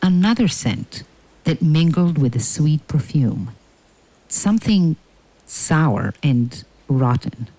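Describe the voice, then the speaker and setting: A woman narrating a scripted fairy tale in a measured, deliberate way. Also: deep voice, big pitch swings, warm tone, rough recording.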